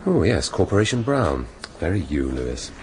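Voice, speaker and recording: An English actor playing a middle-aged police inspector in a radio drama, shrewd and dry, speaking deliberately with authority. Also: male voice, deep voice, very animated, background noise. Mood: wry, dry. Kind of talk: naturalistic radio drama acting.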